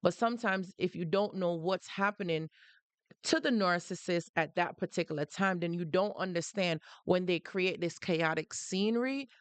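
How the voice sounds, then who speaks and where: A woman's voice: on the low side, moderate pace, clear, resonant tone, no room sound. Jamaican woman talking straight to viewers. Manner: emphatic, repeats phrases, greets viewers mid-sentence. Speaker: livestream host. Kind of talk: casual livestream talk to viewers.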